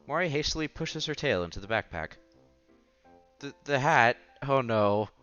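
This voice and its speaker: A man's voice: mid-range voice, lively intonation, normal speed, muffled recording. A man reading a visual novel aloud on a headset mic, acting out the dialogue and adding offhand comments in a casual way. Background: soft music.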